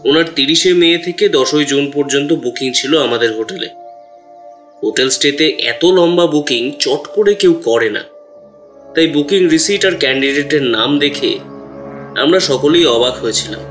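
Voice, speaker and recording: A man's voice: on the high side, moderate pace, a bit bright, faint music behind, lightly acted. A man playing a deferential hotel employee who answers questions politely and explains carefully in an audio drama. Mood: deferential, explaining. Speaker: voice actor in an audio detective drama. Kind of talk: acted dialogue with background music.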